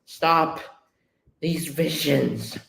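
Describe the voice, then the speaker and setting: A man voicing a tormented robot warrior with a growling, slow, dramatic delivery, recorded in an echoey room. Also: mid-range voice, vocal fry, bright, forceful tone, slight background noise, heavily acted. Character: tormented robot warrior soldier, growling, defiant, later softened.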